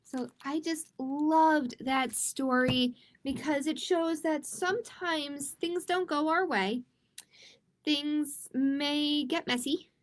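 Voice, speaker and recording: A woman hosting a children's storytime, reading picture books expressively and speaking deliberately, straight to her young listeners. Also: high-pitched, steady tone. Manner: slow, deliberate, addressing young listeners directly. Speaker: children's librarian storytime host. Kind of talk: expressive picture book read-aloud for children.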